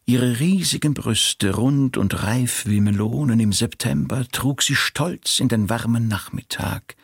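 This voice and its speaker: An actor playing a deadpan, deliberate storyteller of absurd, grotesque tales in a radio play. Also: male voice, medium pitch, vocal fry, husky, no echo, studio quality, exaggerated voice. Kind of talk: literary radio play narration.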